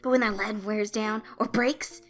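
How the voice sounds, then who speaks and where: A woman voicing a cheerful, theatrical boy supervillain who declaims his schemes in a bright, excitable way over music. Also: mid-range voice, moderate pace, natural voice. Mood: grand, scheming. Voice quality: peppy, bright.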